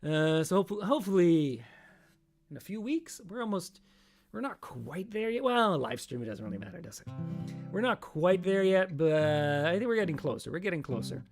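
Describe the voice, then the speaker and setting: A middle-aged man with a raspy, practice-tired voice, hosting a live stream and chatting in a rambling, self-deprecating way between songs. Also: high-pitched, very animated, normal speed, faint music behind.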